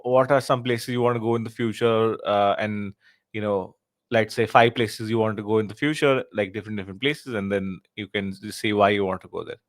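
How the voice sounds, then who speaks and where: A man hosting a podcast, asking questions in a halting way with many restarts and fillers. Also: lowish voice, no echo. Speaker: podcast host. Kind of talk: casual interview questions.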